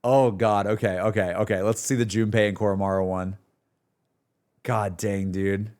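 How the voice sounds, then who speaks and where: A man's voice: on the low side, fairly even intonation, moderate pace, a little mellow. A man streaming casual, slangy, exclamatory reactions to a game as he talks to his viewers.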